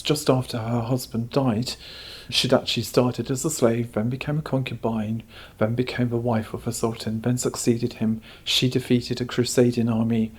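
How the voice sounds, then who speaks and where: Husky man explaining history in an interview. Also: mid-range voice. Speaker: exhibition curator, interview guest.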